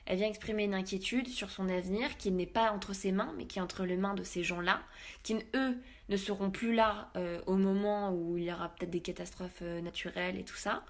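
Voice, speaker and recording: A woman's voice: mid-range voice, fairly even intonation, fairly quick. A woman speaking candidly in an interview. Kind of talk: casual interview answers.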